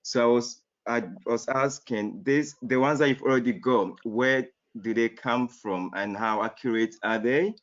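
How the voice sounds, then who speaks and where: A man's voice: mid-range voice, unhurried, hardly any pauses. A man who works as an accountant, calling in with polite, inquisitive questions. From United Kingdom. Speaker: caller, accountant. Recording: dull lo-fi audio.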